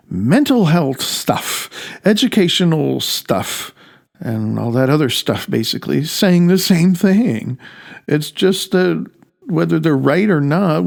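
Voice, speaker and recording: Gravelly man reading his own audiobook, slow-paced. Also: a little high. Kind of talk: conversational audiobook narration.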